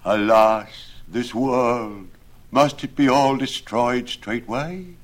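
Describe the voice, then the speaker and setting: Storybook role: crafty young astrologer-scholar, acted by a male narrator, solemn secret-teller, lowish husky voice with dramatic swings. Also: lively intonation, measured pace, a bit bright, exaggerated voice. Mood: doom-laden. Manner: confiding, ominous, portentous.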